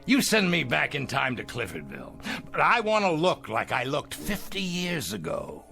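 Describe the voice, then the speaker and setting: An actor playing a shrewd, restless, boastful old tycoon in 1960s television drama, with theatrical, emphatic delivery. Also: male voice, on the high side, huge pitch swings, creaky, sharp tone, exaggerated voice. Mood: shrewd, bargaining. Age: older. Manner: theatrical, blustering, chuckling, halting then emphatic. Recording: old TV soundtrack with background music.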